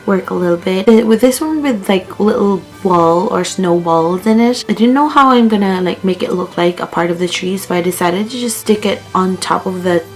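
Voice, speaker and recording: A young woman from the Philippines talks through her home vlog in a chatty, easygoing way, with plenty of "like" and "um". Her voice is strained, as she is losing it. Soft music plays faintly underneath. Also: mid-range voice, normal speed, few pauses, low-quality recording. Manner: chatty, with fillers like "like" and "um". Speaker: vlogger. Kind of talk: casual home vlog narration. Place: living room at home.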